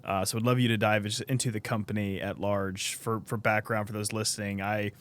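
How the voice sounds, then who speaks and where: A man's voice: on the low side, level tone, fairly quick, a bit bright. A man hosting a podcast, interviewing a guest in an upbeat, friendly, casual way. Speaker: podcast host.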